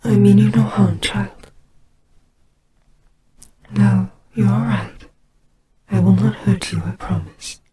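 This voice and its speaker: Woman voicing a slow, gentle goddess of death. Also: medium pitch, steady tone, natural voice. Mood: gentle, concerned. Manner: soft, breathy, hesitant, reassuring. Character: gentle, soothing goddess of death sheltering a child. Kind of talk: slow dramatic audio roleplay. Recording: clearly noisy amateur home recording. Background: ambience sound effects.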